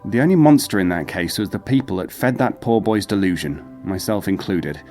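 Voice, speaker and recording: Actor as a low-voiced, skeptical psychologist. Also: male voice, lively intonation, dark tone, faint music behind, close to an everyday voice. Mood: skeptical, regretful. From England. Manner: measured, formal, skeptical. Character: polite, skeptical male psychologist, weary and rational. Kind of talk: audio drama acting.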